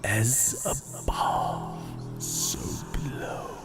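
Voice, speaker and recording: An actor playing a sinister, seductive Dark Lord spirit in an audio drama, speaking in a breathy, menacing, drawn-out whisper. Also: male voice, music in the background. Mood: incantatory, ominous.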